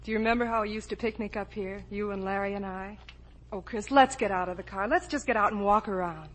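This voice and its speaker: An actress playing an earnest, wounded young woman with quiet firmness in a 1950s radio drama. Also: mid-range voice, fast, natural voice. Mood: fond. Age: young adult. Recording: old radio broadcast, faint noise.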